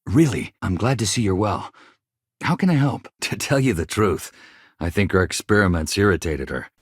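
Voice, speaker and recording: A low-voiced, earnest ship's engineer. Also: male voice, lively intonation, moderate pace, husky, no echo, clean studio sound. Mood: warm, friendly. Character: earnest, weary male chief engineer, haunted survivor of a disaster. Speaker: starship crew engineer. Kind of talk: video game character dialogue.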